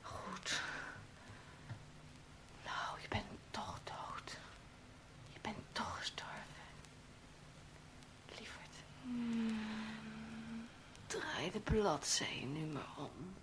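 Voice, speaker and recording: An actress playing a tender, seductive guide in a hypnotic audio drama, soothing the listener intimately and often in a whisper. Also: female voice, on the high side, extremely slow, long pauses. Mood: hushed, insistent. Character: tender, seductive woman guide coaxing listener toward death. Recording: music behind the voice. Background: music.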